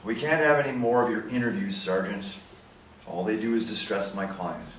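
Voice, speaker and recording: An actor playing a combative, indignant lawyer with a scornful manner in a stage play reading. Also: male voice, on the low side, moderate pace, natural voice. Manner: forceful, scornful. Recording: roomy distant stage recording, faint noise.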